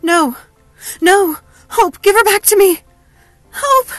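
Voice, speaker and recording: Brief: female narrator as anxious big sister in a dramatic audiobook, protective, imploring, raised pitch. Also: brisk, dry recording, faint music behind. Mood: screaming. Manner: pleading, urgent. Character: protective older sister, coaxing, then frantic with grief.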